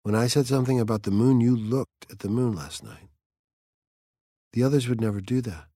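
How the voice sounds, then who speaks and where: A man's voice: mid-range voice, a little fast, mellow, no room sound, clean studio sound, natural voice. A man voicing a peculiar, dreamy teenage girl of nearly seventeen, whimsical and earnest in her chatter.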